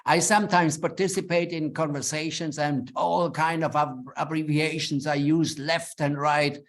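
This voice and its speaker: A man's voice: on the high side, steady tone, moderate pace. A middle-aged man from Switzerland with a Swiss German accent, English not his first language, giving earnest, plain-spoken advice in a webinar talk with frequent restarts. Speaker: webinar speaker. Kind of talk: advisory talk to lawyers.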